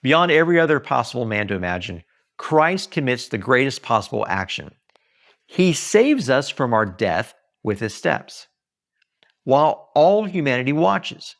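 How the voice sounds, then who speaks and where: A man reads his own writing aloud like an audiobook author. His pitch moves widely from phrase to phrase, and his delivery is earnest and emphatic, with something of the preacher in it. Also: sing-song, normal speed. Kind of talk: expressive audiobook-style reading.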